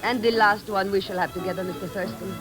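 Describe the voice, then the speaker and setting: A woman's voice: medium pitch, fairly even intonation, fast, close to an everyday voice. An actress playing a worldly, teasing female spy posing as a countess, with arch, elegant banter in an old radio drama. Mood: playful, teasing. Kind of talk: 1940s-50s American radio drama acting. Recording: vintage radio recording with music behind.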